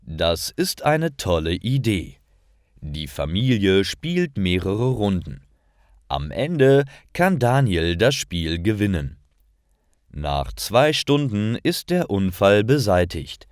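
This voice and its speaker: A man reading simple stories as a narrator for language learners, in a deliberate and expressive storytelling style. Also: fairly low pitch, no echo, studio quality. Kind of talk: scripted storytelling narration, read slowly for learners.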